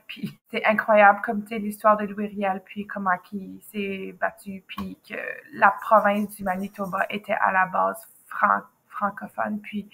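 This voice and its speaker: A woman teacher with a softened Québécois accent, chatting casually as an interview guest, full of fillers and restarts. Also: mid-range voice, poor audio. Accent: Québécois French, softened. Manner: chatty, many fillers and restarts, trailing off into asides. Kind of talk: casual chat.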